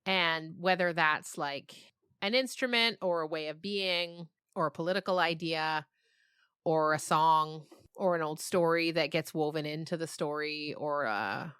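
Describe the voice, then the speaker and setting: A woman's voice: low-pitched, measured pace, fairly bright tone, smooth, no echo, clean studio sound. A woman speaking as an interview guest, a storyteller and podcast creator talking reflectively and expressively in conversation.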